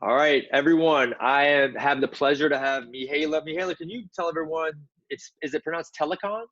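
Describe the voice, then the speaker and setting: A man's voice: on the high side, fairly quick, fairly bright tone. A man hosting a live interview, joking and self-deprecating. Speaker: host. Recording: dull lo-fi sound.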